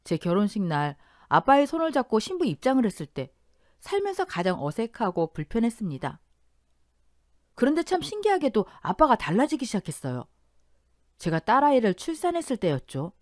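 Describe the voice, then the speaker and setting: A woman hosting and reading a first-person family story aloud in an expressive, confiding way. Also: fairly high pitch, fairly quick, no room sound, studio quality. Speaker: host and storyteller.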